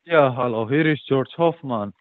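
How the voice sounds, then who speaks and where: A man who is not a native German speaker, calling for a trading firm and speaking haltingly, with many pauses. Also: mid-range voice, no room sound. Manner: hesitant, halting speech. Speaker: scam call-center caller. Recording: tinny phone line.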